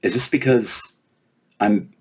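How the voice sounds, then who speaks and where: A man's voice: fairly low pitch, slow pace, slightly rough, voice pushed up. A man voicing a confused, prickly young giant girl in a storybook, hesitant and a little sarcastic. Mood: worried.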